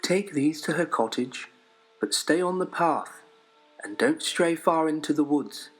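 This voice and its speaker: A man voicing a kind, caring mother gently instructing her child in a storybook. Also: on the high side, lively intonation, unhurried, soft background music, pitched above the performer's own voice, rough recording. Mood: kindly. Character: kindly, caring mother of a little girl.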